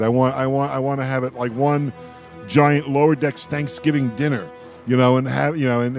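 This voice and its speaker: Male talk host, mid-pitched, casual and emphatic, circles back over his words. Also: darkish tone. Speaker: radio host moderating a roundtable. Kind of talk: casual roundtable chat.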